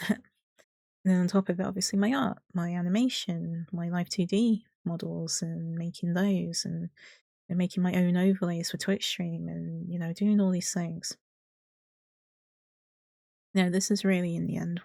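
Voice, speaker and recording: A woman's voice: lowish voice, gravelly, warm tone, no room sound, clean studio sound. A woman giving an unscripted personal update to her followers in a candid, rambling, hesitant way. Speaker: streamer and video creator.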